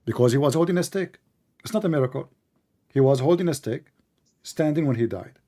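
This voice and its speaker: Dark-voiced man, teasing debater. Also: medium pitch, lively intonation, brisk. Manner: teasing, sarcastic, questioning in plain broken English. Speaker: debate host. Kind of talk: live online debate, conversational.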